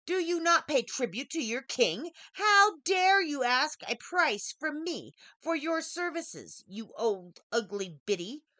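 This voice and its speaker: A woman voicing an arrogant young prince in a storybook, gruff and indignant as he hurls insults. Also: high voice, very animated, slow pace, hardly any pauses, sharp tone, dry recording, pitched above the performer's own voice, heavily acted.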